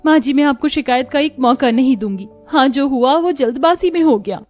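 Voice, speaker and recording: A woman's voice: high-pitched, animated, moderate pace, faint music behind. A woman voicing a sincere, modest young village woman in a cartoon family story. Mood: humble. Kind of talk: animated family drama character voice.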